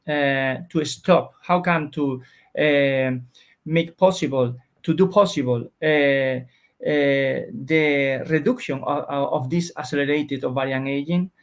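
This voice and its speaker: A male researcher with a Spanish accent speaks in a slightly high voice. He explains his work haltingly, often searching for words in his second language. The delivery is that of an interview guest. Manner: halting, searching for words in non-native English.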